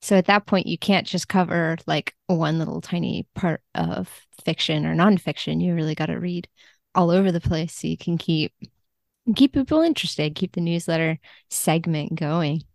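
Creaky-voiced woman chatting as a guest. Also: fairly low pitch, normal speed, no room sound. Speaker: literary translator, podcast guest. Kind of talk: casual conversational interview.

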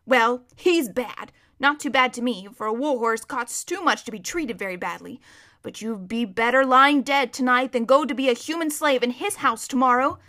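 A woman's voice: fairly high pitch, moderate pace, sharp tone. A woman reading a storybook as a proud, bossy but kindly male war horse, with an emphatic, lecturing manner. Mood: emphatic, impatient. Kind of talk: children's storybook character reading.

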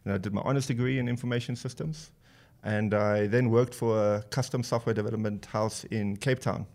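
A man from Namibia with a Southern African accent, a business owner explaining his work as a casual interview guest, pausing and rephrasing as he goes. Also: lowish voice, steady tone, a little mellow. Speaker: podcast guest, business co-founder.

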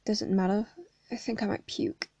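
A woman with a hoarse voice reading the lines of an anxious teenage boy who is facing a court trial, quiet and shaky. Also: mid-range voice, little pitch movement, moderate pace, no echo, lightly acted.

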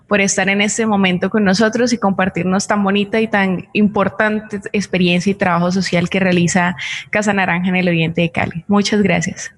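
A Colombian woman co-hosting a programme, speaking politely and formally as in a sign-off. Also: mid-range voice. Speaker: programme co-host.

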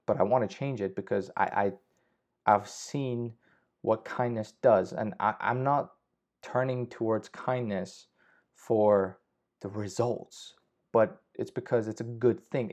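A man's voice: lowish voice, a little slow, a little mellow. A young man hosting a casual solo podcast, rambling with frequent swearing and restarts. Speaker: podcast host. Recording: slightly dull lo-fi audio.

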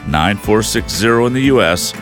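A man with a very low voice reads a show outro in a lively, animated way. He rises and falls a lot as he announces. Clear guitar music plays behind him. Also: moderate pace.

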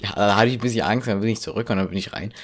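Teen boy, lowish voice, goofy rambling stories about school. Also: rapid-fire. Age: teens. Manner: joking, rambling storyteller. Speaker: co-host. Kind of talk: casual chat.

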